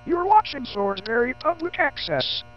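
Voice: high-pitched, very animated, moderate pace. A voice reading a scripted station identification. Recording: muffled lo-fi TV audio.

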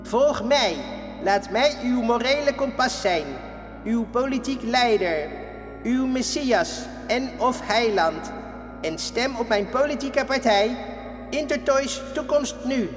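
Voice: medium pitch, slow pace, soft background music, natural voice. Echoey, grandiose puppet podcast host acted out. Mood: pompous preaching. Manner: grandiose, complaining. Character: Jaap, brash indignant puppet boy podcast host. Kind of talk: comic sketch dialogue and mock sermon.

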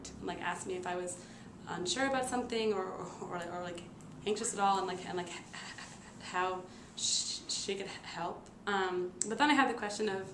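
A woman in her twenties telling a personal story casually to camera, halting and stuttering with repeated sounds, blocks and frequent fillers. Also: fairly low pitch. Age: 20s. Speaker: nursing student and stuttering therapy client. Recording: roomy echo with noticeable background noise.

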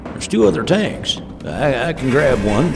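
An actor playing an earnest, loyal, excitable male sidekick in a sci-fi audio drama. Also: mid-range voice, a little slow, gravelly, over loud music. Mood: urgent.

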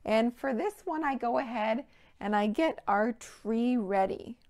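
A woman in her thirties presenting a storytime for young children, with simple, clearly phrased rhymes and counting. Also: on the high side, lively intonation, a little slow, darkish tone. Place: indoor room with playhouse backdrop.

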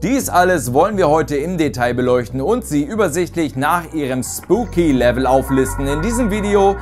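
A man's voice: on the high side, expressive, normal speed. A man in his thirties delivering scripted, articulate commentary as a video host. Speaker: video host presenting a ranking. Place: small home studio room. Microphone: lapel mic. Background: eerie background music.

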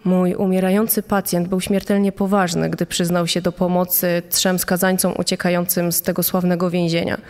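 A woman playing a nurse soberly recounting a confession, serious and measured, as dramatised podcast testimony. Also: on the low side, flat delivery, a little mellow, faint music behind, close to an everyday voice. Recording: long echoing reverb.

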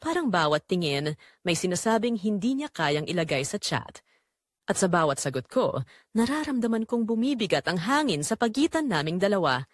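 A woman's voice: mid-range voice, big pitch swings, normal speed, no echo, studio quality. A woman narrating a first-person romantic drama in an intimate, dramatic way. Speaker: audio story narrator.

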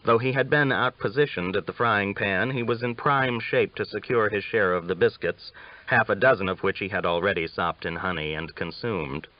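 Male audiobook narrator for a western novel, lowish voice, dull lo-fi recording. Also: normal speed, no echo.

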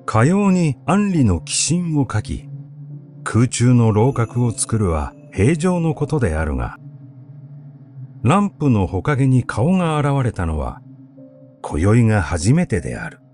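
A man reading literary prose as an audiobook narrator, in a measured, deliberate storytelling delivery. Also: medium pitch, sing-song, darkish tone, faint music behind. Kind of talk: literary recitation, scripted narration.

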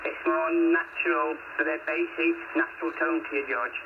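A man's voice: fairly high pitch, huge pitch swings, moderate pace. A man heard through a single-sideband radio, explaining settings helpfully in casual ham radio talk. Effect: single-sideband radio transmission. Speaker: amateur radio operator. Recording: tinny narrow radio link, heavy static.

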